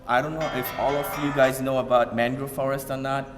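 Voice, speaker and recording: Halting man speaking in a reverberant hall. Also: mid-range voice, flat delivery, music in the background. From Bangladesh. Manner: halting, many fillers and repeated starts. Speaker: community speaker. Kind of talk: informal public talk to an audience. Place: event hall.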